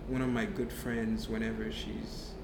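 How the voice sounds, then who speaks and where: A man's voice: lowish voice, level tone, a little slow, roomy sound, very noisy. A man from Haiti, a martial artist being interviewed, answering thoughtfully and earnestly, often repeating words as he builds his thoughts.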